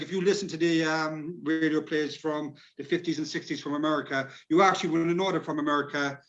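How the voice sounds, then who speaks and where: An Irish man speaks quickly in a fairly high voice. He gives informal workshop advice in a rambling, repetitive way, over a slightly dull recording. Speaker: drama workshop tutor.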